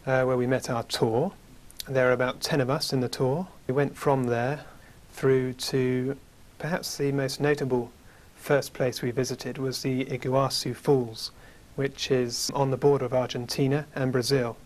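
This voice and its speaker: British man with a flat, even voice. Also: medium pitch. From United Kingdom. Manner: measured, hesitant with fillers. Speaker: guest. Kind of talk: studio interview, recounting travels. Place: small studio with curtain backdrop. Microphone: lapel mic.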